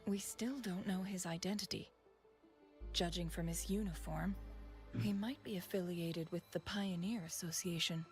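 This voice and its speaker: A woman voicing a calm noble lady investigator. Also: fairly low pitch, faint music behind, lightly acted. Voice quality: calm, measured. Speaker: game character. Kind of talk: game voice acting.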